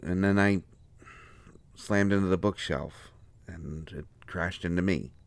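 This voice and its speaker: Low-voiced, exasperated fantasy court doctor played by a man. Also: unhurried, gravelly, dry recording. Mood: evasive, explaining. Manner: pleading, exasperated, swinging from soft explaining to flustered outbursts. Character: harried male royal court doctor, protective, defensive, exasperated lover. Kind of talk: dramatic audio roleplay, one side of a conversation.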